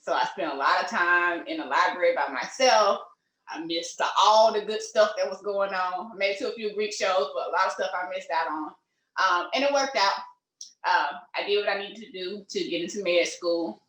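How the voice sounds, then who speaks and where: A middle-aged woman, speaking in a fairly low voice. She tells her story in an easygoing way, full of ums and you-knows. The recording has the echo of a room. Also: moderate pace, a bit bright. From Mississippi. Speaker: pediatrician, interview guest. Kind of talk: casual interview conversation. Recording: echoey room.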